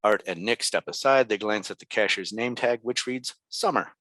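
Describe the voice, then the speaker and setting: A man narrates stage directions from a script. His voice is on the low side. The sound is dry, with little room in it. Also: moderate pace, a bit bright, no room sound.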